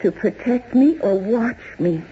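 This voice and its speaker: A woman's voice: a little high, expressive, unhurried. An actress playing a distraught, suspicious woman in a 1970s radio drama, dramatic and challenging. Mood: suspicious, defiant. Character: distraught, suspicious woman wrongly suspected of murder. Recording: dull, noisy old radio recording.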